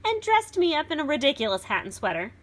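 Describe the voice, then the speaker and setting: A woman's voice: high-pitched, sing-song, fast, pitched above the performer's own voice. A woman reading as an indignant, put-upon little male woodland beast, telling his storybook tale with dramatic grievance. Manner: dramatic, aggrieved storybook narration.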